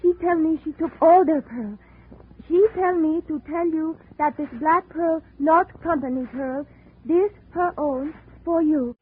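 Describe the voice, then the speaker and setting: Soft island girl, broken english, high voice, tinny 1940s radio drama. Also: a little slow. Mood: tender. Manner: broken English, gentle pleading. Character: young island woman, soft-spoken, devoted.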